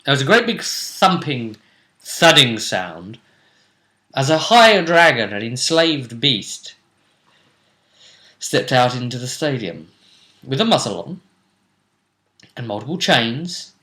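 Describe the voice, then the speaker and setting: A man hosting a tabletop role-play show, casually recapping the action in a jokey, theatrical way with drawn-out emphasis. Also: mid-range voice, big pitch swings, pushed and bright. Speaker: game master and host.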